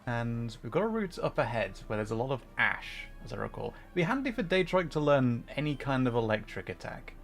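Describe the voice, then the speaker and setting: Higher-voiced man casually chatting on a game stream. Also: big pitch swings, fairly quick, creaky, hardly any pauses, soft background music. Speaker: livestreamer hosting a gaming stream. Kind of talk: casual livestream chat and game commentary.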